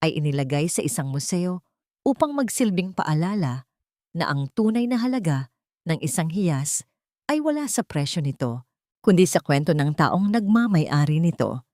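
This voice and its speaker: A woman's voice: sits low, very animated, moderate pace, mellow, no room sound, clean studio sound. A woman storyteller giving a scripted, solemn and sentimental narration of a romance.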